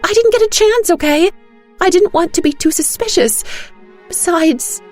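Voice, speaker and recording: A woman's voice: very high-pitched, lively intonation, moderate pace, darkish tone, soft background music, pitched above the performer's own voice. A woman voicing a frightened, anxious woman accomplice in an audio drama, insistent and defensive as she talks. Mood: defensive, guilty. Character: Gloria, panicky frightened woman accomplice on the phone. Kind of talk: audio drama character acting.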